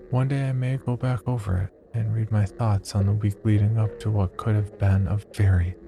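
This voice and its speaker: A man acting as a cold, detached psychology researcher, reading a sinister diary in a measured, clinical horror-story narration. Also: lowish voice, vocal fry, a little hiss or hum. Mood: quietly ominous. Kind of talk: horror audio fiction, first-person diary narration. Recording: loud music bed under voice. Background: loud music.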